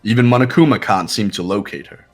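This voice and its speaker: A man voicing a haughty, disdainful young rich student with a husky, pompous delivery in a fan-voiced visual novel game. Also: fairly low pitch, fast talker, natural voice. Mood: skeptical. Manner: pompous, condescending.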